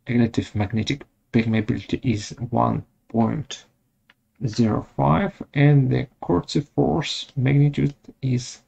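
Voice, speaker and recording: A man presenting a step-by-step software tutorial in short, measured, halting phrases. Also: mid-range voice, gravelly, slightly rough. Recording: dull lo-fi audio.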